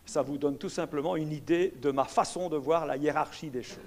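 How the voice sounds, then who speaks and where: A man's voice: on the high side, fairly quick. An older man with a Belgian French accent giving a public lecture, emphatic and full of rhetorical asides to his audience. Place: lecture hall.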